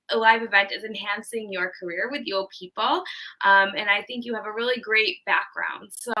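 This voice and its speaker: A woman hosts a live online event over a video call. Her voice is bright and a little high. She talks in an upbeat, chatty way, with plenty of ums. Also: low-quality recording. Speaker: social media manager hosting a livestream. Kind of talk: livestream hosting.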